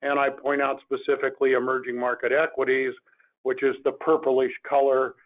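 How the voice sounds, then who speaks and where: An older man giving an explanatory financial presentation as investment chair, measured and halting, going step by step. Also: medium pitch, level tone. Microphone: phone or webinar call. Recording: narrow tinny phone line.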